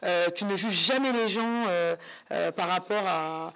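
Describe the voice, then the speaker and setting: A woman's voice: fairly low pitch, measured pace, fairly bright tone, slight background noise, rough recording. Hesitant woman hosting a talk show. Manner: hesitant, searching for words, repeating phrases. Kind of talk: conversational interviewing.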